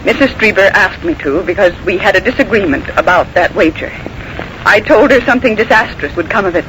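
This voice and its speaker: An actress playing an earnest, determined secretary in a 1940s radio mystery, speaking with precise intensity. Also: female voice, medium pitch, expressive, brisk, natural voice. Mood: insistent, defiant. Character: earnest, determined female secretary turned amateur sleuth. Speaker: narrator and character in a radio mystery. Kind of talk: 1940s radio drama acting. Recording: noisy old 1940s radio recording.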